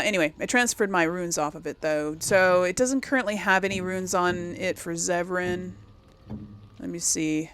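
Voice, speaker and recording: A woman's voice: fairly low pitch, creaky, fairly bright tone. A woman giving casual let's play commentary as host, rambling as she thinks aloud and corrects herself. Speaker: gaming video host.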